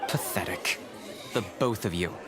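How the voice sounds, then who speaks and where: A man's voice: low-pitched, a little slow, crowd noise, noisy background. A man playing a stern, level-headed, protective warrior in a video game, composed and curt. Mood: disdainful, calm. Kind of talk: English video game voice acting.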